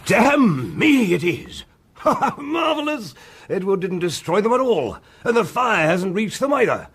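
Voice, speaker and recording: Husky, blustering English earl. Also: male voice, high-pitched, big pitch swings, measured pace, fairly bright tone, heavily acted. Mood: delighted, gruff. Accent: upper-class English. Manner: blustering, exclamatory, stammering. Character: blustering, impatient English earl, gruff but grateful. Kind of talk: period radio drama acting.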